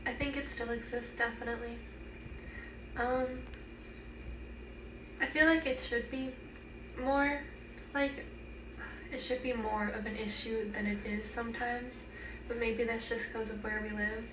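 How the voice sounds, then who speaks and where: A young woman with a fairly high, flat voice answers hesitantly. She sounds distant and echoey, with clear noise behind her. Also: unhurried, long pauses. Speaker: interviewee. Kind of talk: casual interview answers.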